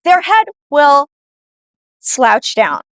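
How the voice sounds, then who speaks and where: High-voiced playful American woman, close and dry. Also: sing-song, drawn-out words, a bit bright, no room sound. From born in Poland, raised in the United States. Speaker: co-host of a live show. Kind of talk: casual chat.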